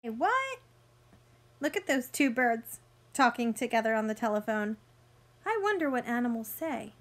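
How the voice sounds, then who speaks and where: A woman in her thirties reading a picture book aloud to young children like a teacher, expressive and sing-song, pausing to ask simple questions. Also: fairly high pitch.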